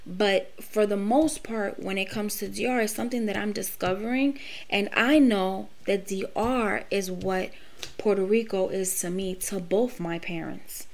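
Woman, late thirties, solo podcast monologue, blunt, profane, mid-range pitch. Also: measured pace. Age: late 30s. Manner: candid, profane, emphatic. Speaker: podcast host. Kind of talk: personal monologue.

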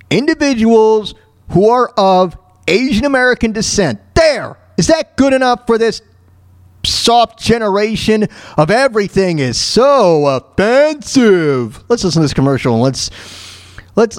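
A man hosting a podcast, ranting in a halting, repetitive, exasperated way with casual, opinionated talk. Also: sits high, no room sound, studio quality. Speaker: podcast host.

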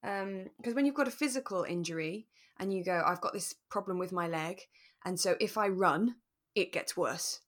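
Woman, podcast co-host, lowish pitch, relaxed discussion, tentative thoughtful delivery. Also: moderate pace.

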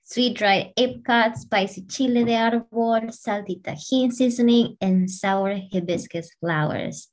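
A woman from Peru co-hosting a casual podcast, asking eager questions and showing a warm curiosity. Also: on the high side, unhurried, hardly any pauses, rough recording. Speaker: podcast co-host.

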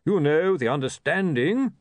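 A man's voice: on the high side, lively intonation, normal speed, no echo, voice pushed up, natural voice. A man voicing a blunt, blustering, impatient chief priest in a fantasy audiobook. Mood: indignant.

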